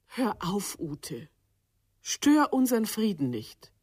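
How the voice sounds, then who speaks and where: A woman's voice: mid-range voice, very animated, takes it slowly, background noise. An actress in a radio play as a stern, anxious woman, scolding and pleading to keep the family peace. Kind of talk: radio drama dialogue.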